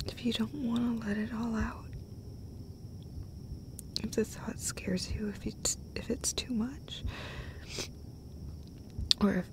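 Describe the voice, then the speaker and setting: A woman performing an intimate comfort roleplay as an affectionate girlfriend, speaking tenderly and emotionally in a halting way that often drops toward a breathy whisper. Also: a little high, low-quality recording. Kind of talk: intimate comfort roleplay, acted as one side of a conversation.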